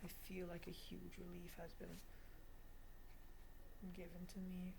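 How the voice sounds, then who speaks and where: A woman's voice: lowish voice, completely flat delivery, a little slow, vocal fry, background noise. A woman of twenty giving a confessional video diary monologue in an emphatic, repetitive, self-deprecating way. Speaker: vlogger.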